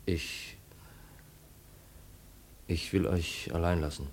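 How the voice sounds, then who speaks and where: Gentle, devoted youth, low soothing voice, fifties radio drama with slight rumbling noise. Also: male voice, steady tone, slow. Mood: restrained, kind. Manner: soothing, tender. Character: gentle, protective, devoted young man. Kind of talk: 1950s German radio drama acting. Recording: rumbly, faintly noisy old radio recording.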